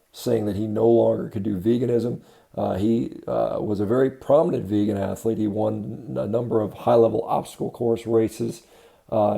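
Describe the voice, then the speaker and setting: A man giving unscripted critical commentary as a host, matter-of-fact and halting, often correcting himself. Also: lowish voice, creaky, warm tone. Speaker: host of a diet commentary video.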